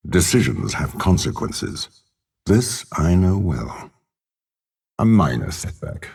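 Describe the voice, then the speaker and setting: Very deep, gravelly demonic warlord. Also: male voice, sing-song, darkish tone, heavily acted. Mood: calm disdain. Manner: slow, measured, menacing, haughty. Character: ruthless, imperious older male warlord bound to a demon. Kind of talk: dramatic video game character voice lines.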